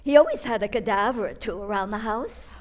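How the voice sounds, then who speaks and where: A woman's voice: mid-range voice, a little fast, close to an everyday voice. A woman playing a kindly, dotty old aunt in an old-time radio comedy, cosy, innocent and fussily precise.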